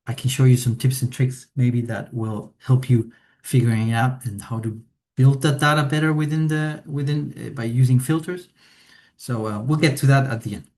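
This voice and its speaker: Chilean-born man giving a software webinar. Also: medium pitch. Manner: hesitant, many fillers, tag questions. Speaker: implementation consultant presenting a webinar. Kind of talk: presentation walkthrough.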